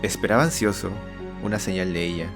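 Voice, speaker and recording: A man's voice: low voice, brisk. A young man giving an earnest, confessional scripted narration of his own life as a storyteller. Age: young adult. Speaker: storyteller and channel host. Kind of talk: scripted autobiographical narration with acted dialogue. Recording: voice over background music.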